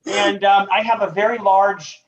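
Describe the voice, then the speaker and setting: Upbeat high-voiced man on a call. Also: little pitch movement, normal speed, lo-fi sound. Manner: upbeat, promotional, says he stutters but talks fluently. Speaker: author and guest. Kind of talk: rapid-fire interview answers.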